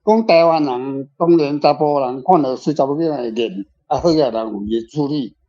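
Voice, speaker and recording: An older man, an author, discussing his work informally in halting, repetitive phrases. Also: medium pitch, mellow. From Taiwan. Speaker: author guest. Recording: slightly muffled, faint guitar music behind. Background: faint guitar music.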